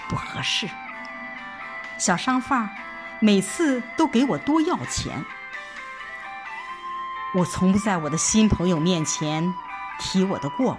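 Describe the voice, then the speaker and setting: Female audio drama narrator, lowish pitch, very varied intonation, telling a first-person story with faint music behind.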